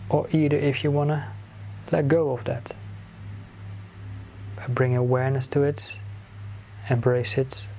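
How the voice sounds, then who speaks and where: Very slow, even-toned man guiding meditation. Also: mid-range voice, faint music behind, rough recording. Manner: soothing, instructing in short phrases with long pauses. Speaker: guided meditation teacher. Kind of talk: guided meditation narration. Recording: clear background noise, ambient sound.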